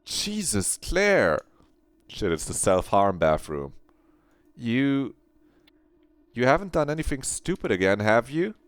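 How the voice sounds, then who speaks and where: A man's voice: mid-range voice, big pitch swings, slow pace, pauses often, no room sound, pitched above the performer's own voice. A man voicing a blunt, mocking teenage girl with a teasing manner. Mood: alarmed.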